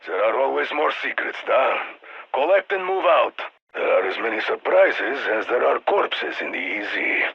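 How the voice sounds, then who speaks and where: An actor playing a stern, battle-hardened Russian military commander in a video game, giving terse, formal mission orders in a Russian accent through a radio filter, with a gravelly, husky voice. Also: male voice, medium pitch, sing-song, normal speed, dull audio, exaggerated voice. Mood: grave, instructive. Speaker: mission handler.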